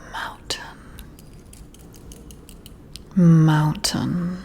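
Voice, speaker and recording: A woman whispering breathily up close to the mic in an ASMR role-play, giving soothing, gentle and deliberate instructions. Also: low-pitched, little pitch movement, vocal fry, slightly rough. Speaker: ASMR creator role-playing a boss giving a personality test. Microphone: close-up ear-to-ear microphone.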